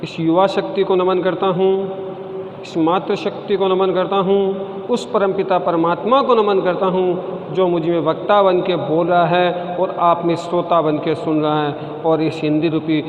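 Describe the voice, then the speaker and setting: High-voiced man declaiming slowly in an echoing hall. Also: fairly even intonation. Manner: declamatory, chants repeated refrains with rising emphasis. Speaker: speaker at a college Hindi Day function. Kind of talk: formal stage speech with poem recitation. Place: event at a college courtyard. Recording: echoey, dull lo-fi sound with long reverb and faint hiss.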